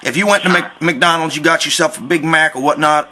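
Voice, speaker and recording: A man's voice: on the high side, fairly quick, bright, forceful tone, slight background noise. A man voicing a folksy, persistent Southern construction worker making a rambling, mock-polite complaint on a prank phone call. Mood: aggrieved, insistent. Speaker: prank caller.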